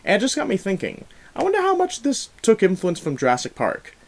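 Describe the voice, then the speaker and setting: A man hosting a film review show, talking in a casual, animated and emphatic conversational way. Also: high voice, a little fast, creaky.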